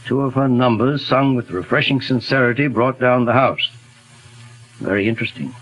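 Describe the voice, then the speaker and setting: Mr. Keen, a man playing a husky detective. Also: mid-range voice, fairly bright tone, soft background music, lightly acted, rough recording. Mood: calm. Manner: measured, polite and composed. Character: calm methodical male detective, middle-aged. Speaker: detective lead. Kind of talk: 1940s radio acting. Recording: hissy 1940s radio broadcast.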